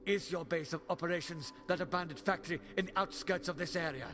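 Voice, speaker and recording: A man playing a righteous, sharp-tongued alien time traveller in an audio drama, commanding and sardonic. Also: a little high, fast talker, background noise, natural voice. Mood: stern, threatening. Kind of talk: fan audio drama acting. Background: loud dramatic music.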